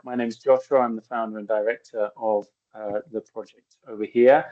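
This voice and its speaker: A man's voice: lowish voice, steady tone, normal speed. A man, the project director, opening a presentation in an upbeat, welcoming way with a few "uh" fillers. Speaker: project founder and director, host. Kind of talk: formal introduction. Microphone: video call. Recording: muffled lo-fi video call audio.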